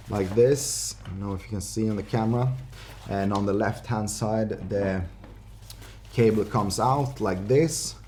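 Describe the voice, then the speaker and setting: A man. His voice is on the low side, with a soft, mellow tone. He speaks off the cuff, hesitating and searching for his words. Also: a little hiss or hum. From Finland. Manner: halting, hesitant, unscripted delivery. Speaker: presenter of a motorbike gear review. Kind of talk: casual product review to camera. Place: indoor workshop studio.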